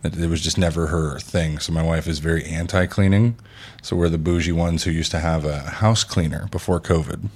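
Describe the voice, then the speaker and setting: A man's voice: sits low, fairly even intonation, a little fast, a little mellow, slightly rough. A man in his thirties hosting a casual chat, telling stories animatedly, acting out quotes and swearing freely. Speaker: podcast host.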